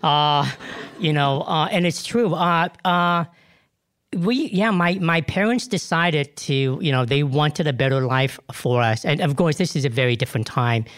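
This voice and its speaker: A man's voice: a little high, unhurried, fairly bright tone, smooth. A man in his fifties from Vietnam, an actor answering interview questions in an emotional, hesitant way with many restarts. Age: 50s. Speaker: guest actor.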